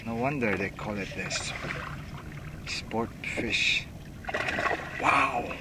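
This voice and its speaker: A middle-aged man filming himself from a kayak on open water, calling out short excited reactions with whoops and laughter. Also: lowish voice, very slow. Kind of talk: live reactions while fishing. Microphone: action camera mounted on kayak. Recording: wind and water noise.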